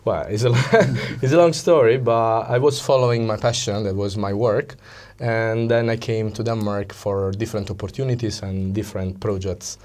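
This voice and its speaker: Low-voiced Italian man, hesitant phrasing. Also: steady tone, moderate pace, hardly any pauses, a little mellow. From Italy. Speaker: interview guest, psychology coach. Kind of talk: conversational interview explanation.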